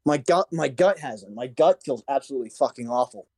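A man's voice: mid-range voice, expressive, a little fast, darkish tone, dry recording, studio quality. A man from Serbia chatting casually and jokingly as a podcast co-host, with crude, sweary talk. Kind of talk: casual joking group chat.

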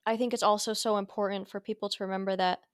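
Slightly hoarse woman, earnest. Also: medium pitch, little pitch movement, quick pace, no room sound, clean studio sound. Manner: earnest, reflective, with frequent restarts and laughs. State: losing her voice, slightly hoarse. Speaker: podcast co-host. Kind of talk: casual faith discussion.

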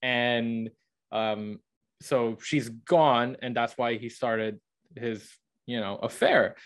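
A man's voice: mid-range voice, fairly even intonation, takes it slowly. A young man co-hosting a podcast, explaining and arguing his points in a casual book discussion. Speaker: podcast co-host.

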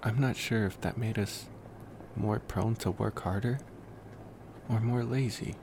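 A man playing a reflective, gentle young college vampire in an ASMR roleplay, musing softly and intimately to the listener. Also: lowish voice, vocal fry. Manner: musing, rambling, with pauses and sighs. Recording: noticeable steady background noise.

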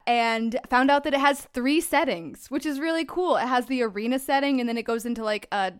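A woman's voice: sits high, fairly quick, smooth. A woman co-hosting a podcast, chatting in a bubbly, sassy way and telling stories. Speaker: podcast co-host. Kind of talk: casual chat.

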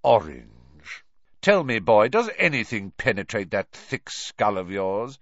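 A man plays a menacing storybook schoolmaster, cold and contemptuous. He speaks deliberately, with sharply swinging intonation that bears down on the listener. The sound is dry and slightly dulled. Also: fairly low pitch, big pitch swings, a bit bright, no room sound, dull audio, heavily acted. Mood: scornful. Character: cold, menacing male teacher, scornful and bullying.

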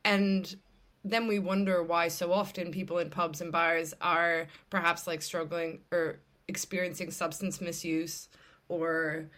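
A woman with a fairly low voice talks as the host of a podcast. Her delivery is casual and conversational, frank and informal, with plenty of emphasis on her points. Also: level tone, a little slow, a bit bright.

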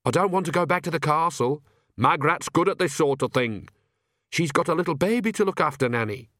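Man voicing a higher-pitched, sensible young witch. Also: expressive, fairly quick, no echo, clean studio sound. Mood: protesting. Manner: sharp, matter-of-fact, protesting.

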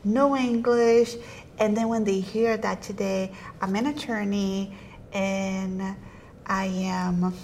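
A woman's voice: medium pitch, level tone, takes it slowly, a little mellow, a little hiss or hum. A woman whose first language is Portuguese, a state representative hosting a casual conversation about education bills, talking animatedly with shifting pace.

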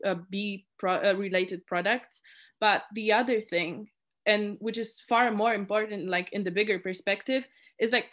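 A woman's voice: medium pitch, a bit bright. A young woman from Poland, a native Polish speaker, chatting casually as a committee chair in an interview and filling her talk with "like" and restarts. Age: young adult. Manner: chatty, many "like" fillers and restarts.

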